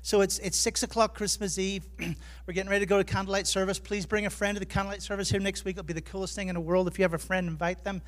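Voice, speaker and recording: A man's voice: high-pitched, level tone, fast talker. An Irish man preaching as a pastor, chatty and self-deprecating, telling humorous personal stories in his sermon. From Ireland. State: jet lagged.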